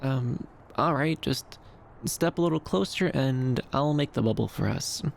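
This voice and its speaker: Gravelly-edged, gentle young dream fox played by a man. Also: mid-range voice, a little slow, slight background noise. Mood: bashful, tender. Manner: soft-spoken, bashful, hesitant, soothing. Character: shy, gentle, caring young male dream fox companion. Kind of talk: ASMR roleplay, soft comforting monologue.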